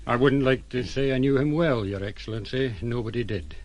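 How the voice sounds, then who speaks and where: An actor playing a blunt, sombre ship's captain recounting events in an audio drama, in a plain-spoken way. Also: male voice, medium pitch, fairly quick, no room sound, close to an everyday voice. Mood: sombre, reflective. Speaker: sea captain.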